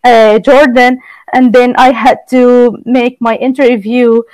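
Casting: young woman, Palestinian Arabic accent, podcast guest, crisp clean tone, very dry recording. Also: on the high side, flat delivery, slow pace, darkish tone, no room sound, rough recording. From Gaza, Palestine. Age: young adult. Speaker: podcast guest, exchange student. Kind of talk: conversational interview answers.

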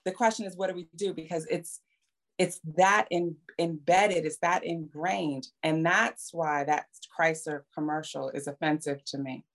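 A woman's voice: low-pitched, fairly even intonation, measured pace. A middle-aged woman explaining her views at length in conversation, emphatically, with rhetorical questions and checking tags.

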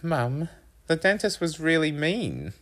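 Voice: mid-range voice, sing-song, takes it slowly, voice pushed up. A narrator voicing a self-conscious schoolboy in a storybook, whiny, sarcastic and complaining.

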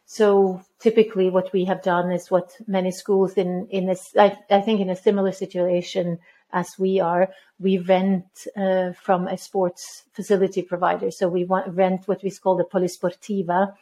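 A woman in her fifties from Norway, a head of school answering interview questions in a measured way, with frequent ums and restarts. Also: on the low side, flat delivery, dark tone.

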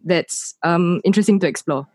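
A woman interviewing a guest in a relaxed conversation, listening closely and dropping in soft murmurs of agreement. Her voice sits on the low side for a woman. The recording is dry, with no echo around her. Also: normal speed. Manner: attentive, frequent soft backchannels. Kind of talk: casual interview chat.

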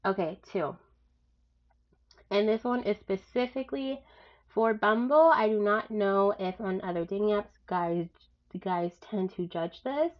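A young woman with a stuffed-up nose from a cold, giving blunt dating advice in a frank, animated way, with sudden loud rises and some swearing. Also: mid-range voice, a little slow, lo-fi sound. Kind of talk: casual advice monologue.

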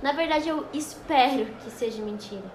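A woman's voice: high voice, normal speed, echoey room, noisy background, close to an everyday voice. A woman acting a wronged, dramatic young wife in an amateur radio soap opera. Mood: worried. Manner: dramatic, gasping, exclamatory. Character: young wife and model, wounded, swooning over gifts.

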